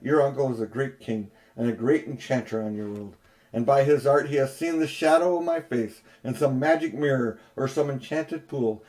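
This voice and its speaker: A man's voice: mid-range voice, a little mellow, natural voice, poor audio. A man reading aloud, voicing a haughty, cruel queen in an audiobook with an imperious, cold, disdainful manner.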